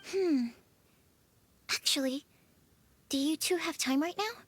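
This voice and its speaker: A woman's voice: high-pitched, slow pace. An actress playing an inquisitive young female alchemist in a video game, earnest, thoughtful and polite. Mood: confiding. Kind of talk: video game cutscene acting.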